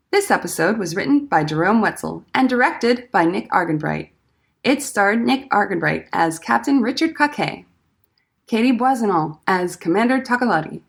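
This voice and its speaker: A woman's voice: medium pitch, gravelly. A woman announcer reading scripted end credits for a radio show.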